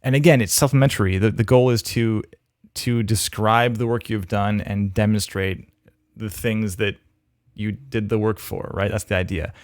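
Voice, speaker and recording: A man's voice: on the low side, fairly even intonation, moderate pace, no room sound. A man hosting a livestream, casually thinking aloud as he gives frank critique of demo reels on a close mic. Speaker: host reviewing demo reels.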